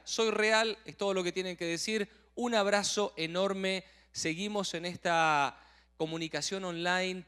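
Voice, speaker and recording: A man's voice: high voice, a bit bright, smooth. A man hosting a live online broadcast in Spanish, speaking emphatically and promotionally. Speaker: event host.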